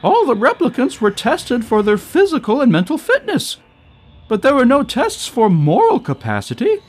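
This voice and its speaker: A man voicing a brisk, matter-of-fact female inspector in an audio story. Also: extremely high pitch, huge pitch swings, soft background music, pitched above the performer's own voice, full character voice. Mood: clinical, blunt.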